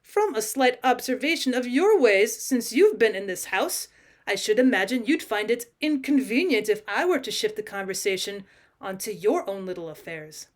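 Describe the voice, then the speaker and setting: A woman narrator plays a talking house cat from a classic storybook, male and supremely haughty. He speaks with unruffled, cutting disdain, in a fairly high register. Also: lively intonation, moderate pace, close to an everyday voice. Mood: frigid politeness. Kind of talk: audiobook character voice, British classic short story.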